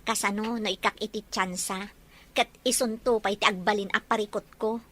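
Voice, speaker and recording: A woman's voice: medium pitch, fairly even intonation, a little fast, bright, forceful tone. A woman playing a guarded, modest young working woman in an audio drama. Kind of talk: Ilocano audio drama acting.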